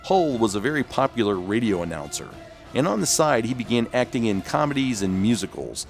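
A man's voice: mid-range voice, sing-song, fairly quick, vocal fry, soft background music. A man hosting and narrating a scripted documentary-style video.

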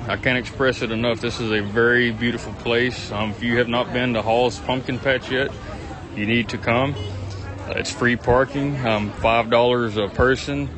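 A man's voice: medium pitch, steady tone, moderate pace, over music. A man, chatting casually and enthusiastically through a handheld phone vlog, rambling with plenty of ums and uhs while walking around an outdoor pumpkin farm. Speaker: vlogger. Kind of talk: casual walk-and-talk vlog. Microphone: handheld phone camera. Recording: crowd noise outdoors. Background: crowd chatter.

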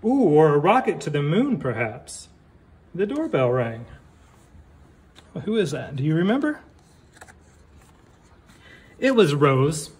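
A man reading a picture book aloud like a teacher, deliberately, with casual asides and questions for young listeners. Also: fairly high pitch, very animated, a little mellow.

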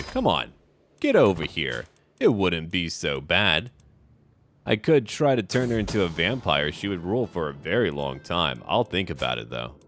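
A man's voice: deep voice, a bit bright. A man narrating a strategy game in character, musing aloud theatrically in short, clipped phrases over music. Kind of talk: in-character roleplay game commentary.

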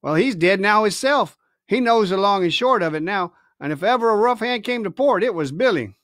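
A man's voice: high voice, lively intonation, a little fast, fairly bright tone, no echo, clean studio sound. A man reading a storybook, voicing an impatient, mutinous pirate coxswain who grumbles in colloquial sailor speech. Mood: grimly admiring.

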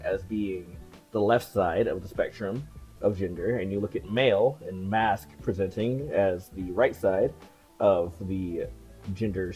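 A person in their late twenties chatting casually to camera in a vlog, relaxed and full of fillers and soft laughs, on a headset mic in a small room. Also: low voice, rough recording. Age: late 20s. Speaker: video creator. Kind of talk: casual talking-head vlog. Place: small cluttered room indoors.

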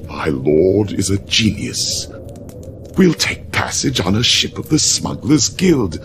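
Theatrical loyal aide, swooping voice. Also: male voice, lowish voice, sing-song, slow, noisy background, heavily acted. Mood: excited. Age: middle-aged. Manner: dramatic, deferential. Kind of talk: video game cutscene acting.

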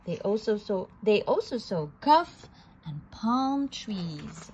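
Slow, lively woman reading a storybook. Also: medium pitch, slightly rough, rough recording. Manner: slow, animated storytelling with occasional restarts. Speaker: storybook reader. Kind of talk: children's read-aloud.

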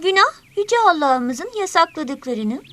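A woman's voice: high voice, expressive, a little slow, sharp tone, exaggerated voice. A woman voicing a small studious animal pupil in an educational cartoon, speaking carefully. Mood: reciting.

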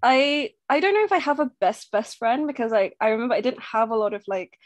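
A woman's voice: a little high, brisk, dry recording. Chatty young woman from Thailand. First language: Thai. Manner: chatty, animated, laughing easily. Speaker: game participant. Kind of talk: casual personal storytelling.

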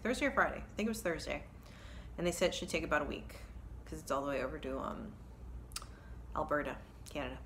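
Woman, thirties, low voice, rambling casual vlog, phone mic in living room. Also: gravelly, a little hiss or hum. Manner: rambling, chatty, self-correcting with many ums. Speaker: cross-stitch vlogger. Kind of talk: casual chat to camera. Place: living room with kids' toys, indoors. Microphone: phone camera at arm's length.

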